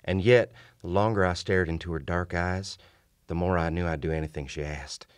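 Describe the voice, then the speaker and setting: An actor playing a wounded, gentlemanly soldier in a radio drama, narrating and speaking in a grave, formal, courteous manner. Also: male voice, low voice, fairly even intonation, moderate pace, clear, resonant tone, lightly acted. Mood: smitten, earnest. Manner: formal, grave, old-fashioned courteous speech. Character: wounded gentlemanly soldier recounting his haunted, doomed past. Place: small indoor radio studio. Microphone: shared vintage-style studio mic on stand.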